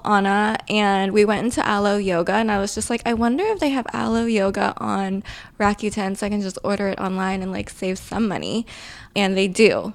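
A woman hosting a podcast, talking casually and chattily and swearing freely. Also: medium pitch. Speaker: podcast host.

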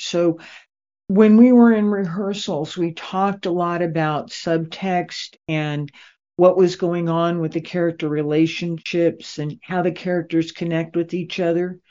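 Slow, low-voiced woman interviewer. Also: mellow. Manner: deliberate, pausing between phrases. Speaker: interviewer, radio drama maker. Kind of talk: unhurried interview talk. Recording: dull, lo-fi sound.

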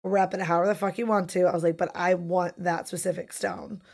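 A woman in her thirties hosting a live-streamed chat show, hoarse from losing her voice, talking casually and swearing freely. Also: fairly low pitch, fairly quick. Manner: profane, chatty, animated. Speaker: podcast host.

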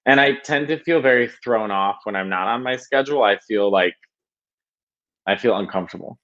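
A man as a podcast guest, talking casually in a halting, self-conscious way with many restarts. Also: lowish voice, a bit bright, muffled recording. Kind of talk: casual interview chat.

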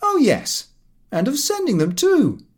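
A man's voice: very high-pitched, wildly swooping pitch, a little slow, slightly rough, pitched above the performer's own voice, full character voice. A man reading an audiobook, voicing a brash, cheeky, cheerful young man with a scornful, slangy manner. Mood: breezy.

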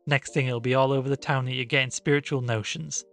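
A man voicing a sharp, anxious country woman who speaks in an emphatic rustic way in a storybook reading. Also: mid-range voice, steady tone, fast, vocal fry, soft background music. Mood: cross, scolding. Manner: emphatic, rustic colloquial speech.

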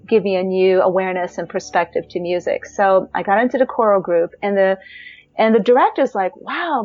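A woman with a high voice telling her life story in an animated way, acting out quotes and using many fillers. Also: husky, muffled recording. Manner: animated, acts out quotes with pitch jumps, frequent fillers. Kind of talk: personal storytelling.

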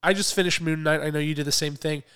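A man co-hosting a podcast in casual chat, a little hoarse from a cold, chatty and quick to laugh. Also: fairly high pitch, fairly even intonation, sharp tone. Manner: chatty, laughs often, restarts phrases. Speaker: podcast co-host.

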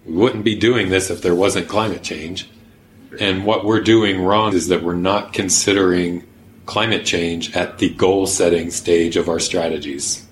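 A man's voice: low voice, steady tone, unhurried, slight background noise. A man explaining his thinking in a podcast conversation, earnest and pragmatic, who also leads a workshop. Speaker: workshop organizer.

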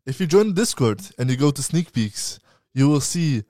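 A man's voice: fairly high pitch, moderate pace, no room sound. Young male podcast co-host, casual and plugging links, dry close mic. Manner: relaxed, laughing, promotional. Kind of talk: casual live-stream chat.

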